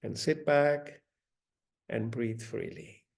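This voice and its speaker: Slow, gravelly man guiding a meditation. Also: mid-range voice. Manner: gentle, deliberate, instructing with long pauses. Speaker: meditation guide. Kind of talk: guided meditation.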